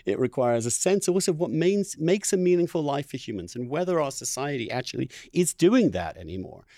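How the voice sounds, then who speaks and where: A male podcast host in animated interview conversation, talking discursively and emphatically, building his points in repeated phrases. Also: on the high side, fairly quick, no room sound, studio quality.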